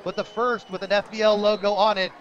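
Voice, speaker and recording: High-pitched American football play-by-play man. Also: level tone, a little fast, highs cut off. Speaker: play-by-play radio announcer. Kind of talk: live high school football play-by-play commentary. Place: outdoor football stadium broadcast.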